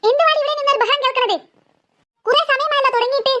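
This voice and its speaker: A woman plays an exasperated cartoon mother with a very high voice. She scolds impatiently and turns sarcastic with her children, in comic family skit style. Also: fast talker, noisy background. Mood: scolding.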